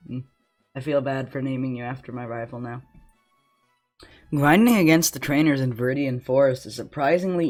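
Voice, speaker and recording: A young man with a slight cough giving casual, joking commentary on a game challenge as a gaming channel host. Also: mid-range voice. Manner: casual, joking, self-deprecating asides. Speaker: gaming YouTuber.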